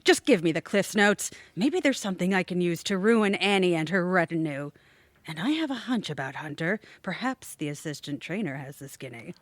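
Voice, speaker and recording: A woman's voice: fairly low pitch, expressive, moderate pace, dry recording, natural voice. An actress playing an imperious, scheming woman boss in a comic audio drama, with a sneering, condescending, theatrical delivery. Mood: scheming, dismissive.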